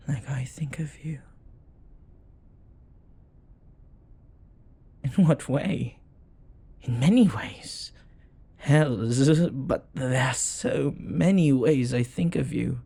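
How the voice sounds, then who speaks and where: A jealous, devoted demon lover played by a man in an intimate audio roleplay. The voice is very husky and breathy with a gravelly creak, and it moves slowly and deliberately through intense, dramatic phrasing. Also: mid-range voice, warm tone, heavily acted. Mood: tender, adoring. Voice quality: husky, breathy, often dropping to a whisper. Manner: slow, deliberate, dramatic pauses.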